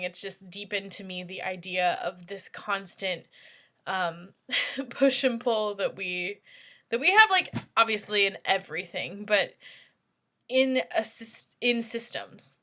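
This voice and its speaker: A woman's voice: medium pitch, sharp tone. A woman moderating a casual panel discussion, searching for her words as she thinks aloud. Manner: halting, reflective, searching for words. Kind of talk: casual post-show discussion.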